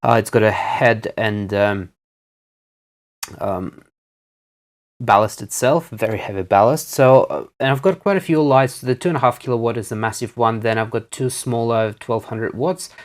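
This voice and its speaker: Man casually demonstrating gadgets, halting delivery. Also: mid-range voice. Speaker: presenter reviewing electronics on video. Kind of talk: casual hands-on product demonstration.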